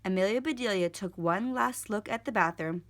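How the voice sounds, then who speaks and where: A woman reading a storybook aloud for children in an expressive, deliberate storytelling manner. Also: mid-range voice. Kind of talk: scripted storybook read-aloud for kids.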